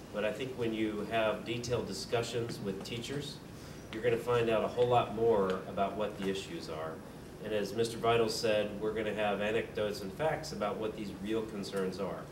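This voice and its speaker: A man chairing a school board meeting, explaining procedure in a measured way with frequent fillers. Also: fairly low pitch. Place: meeting room. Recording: distant mic, echoey room, noisy.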